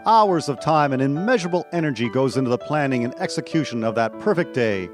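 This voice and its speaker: A man's voice: medium pitch, expressive, quick pace, over music. A man narrating scripted local history for a radio drama.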